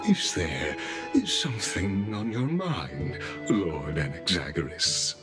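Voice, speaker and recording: Deep, courtly council envoy. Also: male voice, sing-song, slow pace, full character voice. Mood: courteous. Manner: genteel, chuckling, formal. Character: courtly male council envoy, smooth and ceremonious. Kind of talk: studio game voice acting. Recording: game cutscene with music behind.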